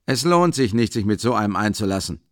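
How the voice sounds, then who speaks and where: A man reading a story aloud who voices a big, rough house porter, loud, blunt and scolding. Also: on the low side, lively intonation, brisk, dry recording, clean studio sound, natural voice.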